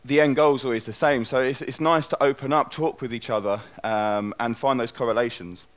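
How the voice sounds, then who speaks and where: A man's voice: medium pitch, fairly even intonation, fairly quick. A young man gives a loose, chatty talk on a conference stage through a headset mic, full of restarts. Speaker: conference speaker.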